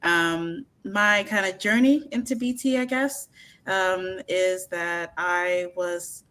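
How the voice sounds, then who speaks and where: A woman's voice: lowish voice, slow, fairly bright tone, clean tone. A young woman introducing herself as a graduate trainee, hesitant, with many fillers and self-corrections. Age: young adult.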